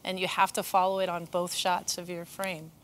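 A middle-aged woman coach talking through bowling rules in a relaxed, conversational way, drawing on her own experience, in a bowling center. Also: lowish voice, normal speed, a little hiss or hum. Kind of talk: instructional chat. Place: bowling center, seated at a table.

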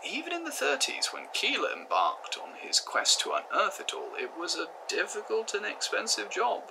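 A man's voice: high voice, moderate pace, creaky, heavily acted. A man playing a wry, chuckling historian on old tape. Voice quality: lively, chuckling, confiding. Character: wry learned male historian, amused by odd history. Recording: noisy old cassette tape recording.

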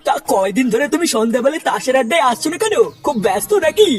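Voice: high voice, sing-song, fast, distant mic, soft background music. A performer voicing a genial older male neighbour who chats colloquially in a comedy cartoon. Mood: friendly. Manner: friendly, colloquial. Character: genial, chatty older village neighbour man.

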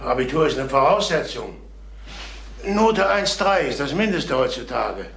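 An actor playing a middle-aged, exhausted, agitated father in a German crime radio drama, brusque, halting and repeating himself. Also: medium pitch, expressive, vocal fry, a bit bright. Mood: pressing. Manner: halting, repetitive, demanding. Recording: roomy, faintly noisy old radio recording.

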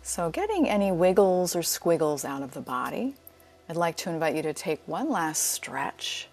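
A woman teaching yoga nidra, leading a guided relaxation in a soothing, unhurried, gently inviting way. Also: fairly low pitch, very animated. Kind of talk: guided meditation.

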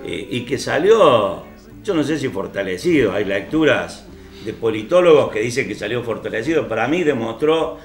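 A man in his sixties with an Argentine accent discussing politics off the cuff as a panel host, halting and reflective with frequent pauses. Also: medium pitch, animated. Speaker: panel host on a regional TV talk show. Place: small TV studio at a panel table. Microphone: table microphone. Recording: roomy echo, faint music behind.

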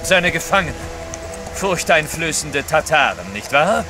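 An actor playing a serious, assured and blunt travelling guide in an audio drama. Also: male voice, on the high side, sing-song, a little slow, music in the background, poor audio. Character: grave, watchful male guide, reassuring yet blunt. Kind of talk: German radio play acting.

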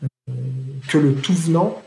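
A man interviewing a guest in a casual, relaxed chat, explaining things informally. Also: fairly high pitch, unhurried, echoey room, slight background noise. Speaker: interviewer, podcast host.

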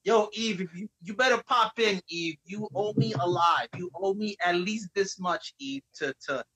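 A man hosting a live open mic stream, chatting excitedly on a video call and rambling as he explains the rules. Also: high voice, normal speed, husky, poor audio. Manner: excitable, rambling, restarts a lot. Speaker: host of an open mic livestream. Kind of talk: casual live-stream chat.

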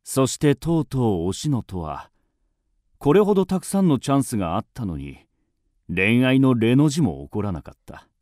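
A man's voice: mid-range voice, very animated, moderate pace, a little mellow, no echo, clean studio sound, close to an everyday voice. An actor playing a wry, lofty writer who narrates his youth in a literary radio drama. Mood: reminiscing, wry.

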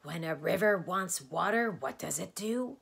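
A woman's voice: fairly low pitch, animated, a little slow, vocal fry, fairly bright tone, breathy edge, voice pushed up, exaggerated voice. A woman voicing a blustering, easily exasperated male doctor in a storybook, lecturing and quizzing emphatically. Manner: emphatic, questioning, declamatory.

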